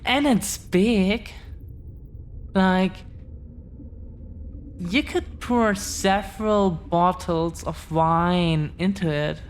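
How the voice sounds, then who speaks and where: A woman playing a tender, devoted dragon-descended girlfriend in an intimate ASMR roleplay, speaking earnestly and haltingly with pauses. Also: on the low side, slight background noise. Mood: earnest, reverent.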